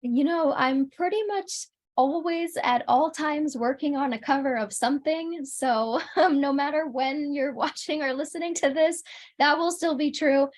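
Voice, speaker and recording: A woman's voice: sits high, moderate pace. A woman chatting casually as an interview guest, upbeat and gracious.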